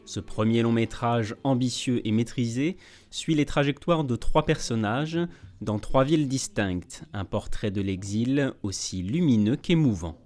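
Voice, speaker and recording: A man voicing a scripted cultural report with a smooth, measured delivery. His pitch sits in the middle range for a man. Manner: polished, even delivery. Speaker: presenter. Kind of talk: scripted news narration.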